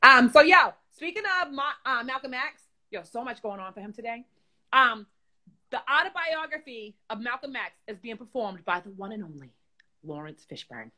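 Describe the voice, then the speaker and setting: A man voicing a brash young woman puppet host with a New York accent, loud and slangy while reading the news. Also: extremely high pitch, sing-song, normal speed, heavily acted. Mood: sassy. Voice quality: loud, profane, slangy, bubbly. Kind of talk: comedic puppet news chat.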